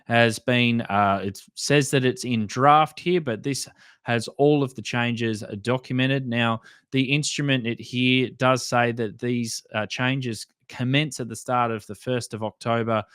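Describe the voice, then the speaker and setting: An Australian-accented man with a mid-pitched voice presents an explainer as a host. He talks informally and steadily, reading through material and commenting on it in plain words. The sound is dry, with very little room echo. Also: fairly even intonation, measured pace, fairly bright tone, smooth. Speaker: host of a radio hobby channel.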